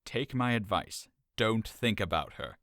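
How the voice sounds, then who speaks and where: An earnest young cousin, voiced by an actor in an audio drama, coaxing and full of concern. His pitch rises and falls in a lively way, and the sound is dry and close. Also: a little slow, no echo. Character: caring young male cousin, earnest friend.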